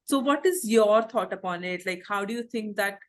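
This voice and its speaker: A woman interviewing a guest, asking long, searching questions with frequent pauses and restarts. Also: mid-range voice. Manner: hesitant, many fillers and restarts.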